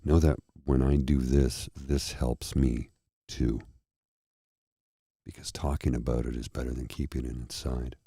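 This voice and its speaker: Man, very low voice, husky, tired, earnest slow talk, dry close sound. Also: level tone, mellow, no room sound. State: tired and a little froggy-throated. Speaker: host. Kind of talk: candid personal talk to viewers.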